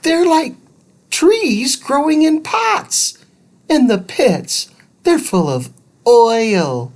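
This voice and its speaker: A spirited young girl in a children's adventure tale voiced by a woman reader. She sounds expressive and teasing, with a higher pitch that jumps around freely. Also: very slow, heavily acted. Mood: curious.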